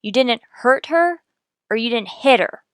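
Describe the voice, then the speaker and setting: An actress playing a cool, persistent police detective in a radio crime drama, questioning in a clipped, deadpan, needling way. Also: female voice, fairly high pitch, unhurried, clean tone, dry recording. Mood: pressing, cool. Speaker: voice actor in an audio police drama.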